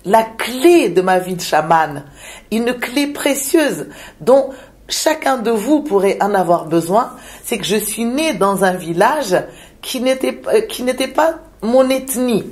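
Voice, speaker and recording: Slow, hesitant woman from Madagascar. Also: fairly high pitch, lively intonation, darkish tone. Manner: slow, searching, with frequent hesitations and repeated words. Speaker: interview guest, shaman. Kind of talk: reflective spiritual interview talk.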